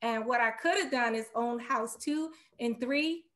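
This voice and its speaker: High-voiced middle-aged woman, casual guest. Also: a little slow, a bit bright. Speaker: guest real estate investor. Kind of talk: casual business interview. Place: room at home, indoors, on a video call.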